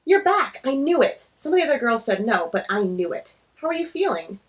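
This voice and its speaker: A woman voicing a friendly, teasing, energetic teenage girl in a graphic novel read-aloud, chatty and emphatic. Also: on the high side, a little fast.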